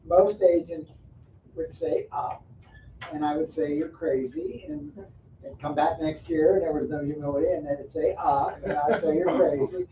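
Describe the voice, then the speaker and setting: A man's voice: a little high, normal speed, mellow, a little hiss or hum. Joking, self-assured older man speaker. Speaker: guest speaker. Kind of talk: casual meeting talk. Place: meeting room. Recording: distant, echoey, lo-fi.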